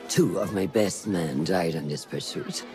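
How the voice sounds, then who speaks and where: A woman's voice: extremely low pitch, measured pace, music in the background. An actress playing a stern, cold woman who leads a secret order, terse and commanding, in a video game. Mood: cold, dismissive. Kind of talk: video game drama acting.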